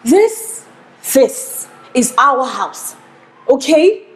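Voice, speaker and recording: A woman's voice: sits high, big pitch swings, extremely slow, exaggerated voice, rough recording. A woman with a Nigerian accent playing a distressed but defiant wife in a melodramatic film, emphatic and repeating herself. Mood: indignant, hurt. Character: defiant, distressed wife standing up to her husband.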